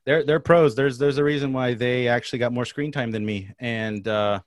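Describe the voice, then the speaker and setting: A man's voice: mid-range voice, fairly even intonation, moderate pace, no echo. A young man with a Mexican American accent, telling rambling stories in a casual chat, often laughing mid-sentence. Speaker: actor, podcast host.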